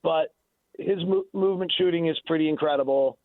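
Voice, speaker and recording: Over a narrow, phone-like line with little room sound, a man talks sports as a radio analyst. His voice sits a bit high for a man. He argues emphatically and unscripted, doubling back and restarting phrases as he goes. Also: brisk, no room sound. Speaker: sports analyst and radio play-by-play announcer interviewed on a show.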